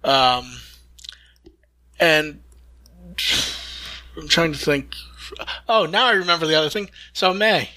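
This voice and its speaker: A man's voice: on the high side, animated, takes it slowly, long pauses, bright, forceful tone. A man hosting a casual podcast news discussion, often restarting his phrases, on a close dry mic. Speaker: podcast host.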